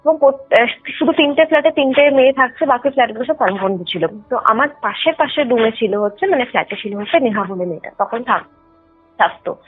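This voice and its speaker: A woman's voice: on the high side, animated, quick pace. A young woman tells a personal story casually over the phone, explaining details and mixing in English words. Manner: explanatory, mixes in English words, often adds check-in tags. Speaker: caller telling a personal ghost experience. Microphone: phone line. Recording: tinny phone call with music underneath. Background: background music.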